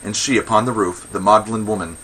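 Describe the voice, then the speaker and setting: A man's voice: fairly low pitch, steady tone, fairly quick, fairly bright tone, a little hiss or hum, close to an everyday voice, poor audio. A man playing a pompous, indignant old Athenian magistrate in a verse comedy, declaiming with blustering contempt for women. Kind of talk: verse comedy read aloud.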